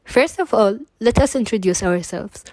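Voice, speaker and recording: A woman's voice: mid-range voice, lively intonation, moderate pace, a little mellow, no echo. A young woman from Kuwait, a student presenting at a seminar, reading prepared remarks with small restarts.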